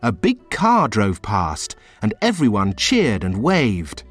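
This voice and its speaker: A man reading a children's storybook aloud in a slow, very expressive storytelling way. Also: fairly low pitch, soft background music. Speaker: storybook reader. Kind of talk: children's read-aloud narration.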